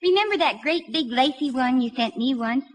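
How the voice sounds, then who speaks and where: A woman's voice: high voice, animated, brisk. An actress playing a sweet, sensible young housewife and mother in a 1940s radio sitcom. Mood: affectionate, teasing. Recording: faintly noisy 1940s radio broadcast.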